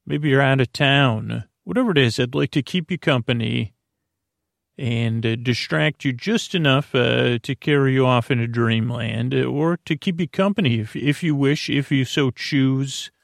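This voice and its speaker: Creaky, croaky man rambling for bedtime. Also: medium pitch, no echo, studio quality. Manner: meandering, halting, repeats himself. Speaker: podcast host. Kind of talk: rambling bedtime sleep-podcast monologue.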